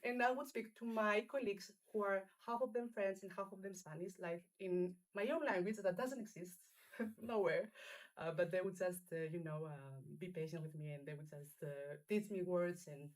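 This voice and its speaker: A woman trainee with Greek as her first language, talking in a casual roundtable with hesitant, halting phrasing. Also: fairly low pitch, poor audio. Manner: hesitant, halting, laughs often.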